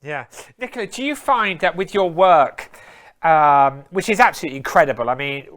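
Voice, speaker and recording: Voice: mid-range voice, expressive, normal speed, a bit bright. A host running a live interview, full of praise and asking long, rambling questions.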